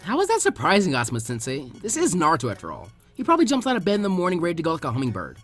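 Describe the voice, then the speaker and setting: Storybook role: teasing, sassy girl played by a man, animated, higher-pitched. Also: rapid-fire, breathy edge, soft background music, full character voice.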